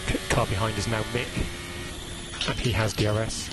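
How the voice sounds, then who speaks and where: A man's voice: lowish voice, fairly even intonation, fairly quick, heavy background noise. A man working as a race engineer, giving terse, matter-of-fact instructions over team radio. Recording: team radio with loud background sound.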